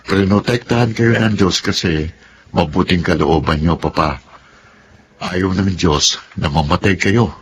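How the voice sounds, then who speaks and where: An actor playing a devoted grown son in a Filipino radio drama, speaking in a caring, respectful, encouraging way with a Filipino accent. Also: male voice, low-pitched, a little slow, noisy background. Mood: reassuring. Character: devoted, reassuring grown son of an old man.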